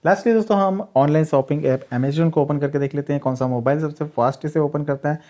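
A man's voice: fairly high pitch, quick pace, dark tone. A man, the host of a tech channel, steadily narrating a side-by-side phone speed test and addressing viewers as friends.

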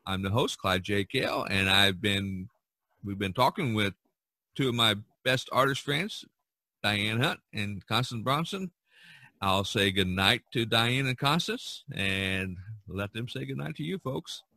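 An older man hosting a podcast, chatting casually in a halting, folksy way. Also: fairly low pitch, lively intonation, bright, forceful tone, no room sound. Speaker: podcast host. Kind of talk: casual chat and podcast sign-off.

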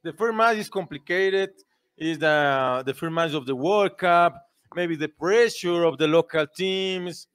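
A young man gives football analysis in halting English, pausing often to search for words. He speaks a little high for a man, into a desk mic in a small studio. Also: a bit bright, smooth. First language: Spanish. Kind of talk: casual football chat. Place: small indoor studio with a backdrop.

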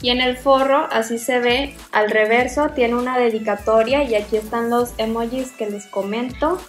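A woman's voice: on the high side, fairly even intonation, moderate pace. A young woman with a Mexican accent talks to camera in a casual, enthusiastic way, reviewing makeup as a video host. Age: young adult. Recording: roomy echo, music behind. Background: music.

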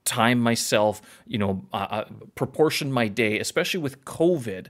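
A man's voice: mid-range voice, animated, normal speed. A man from Canada hosting a podcast over a video call, talking casually and rambling, often correcting himself and laughing at himself. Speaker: podcast host.

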